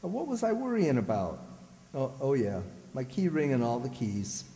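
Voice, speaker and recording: A man playing a grumbling, hapless but soft-hearted husband in an old-time radio comedy, griping in folksy exclamations. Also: mid-range voice, wildly swooping pitch, normal speed. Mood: amiable. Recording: reverberant community stage hall.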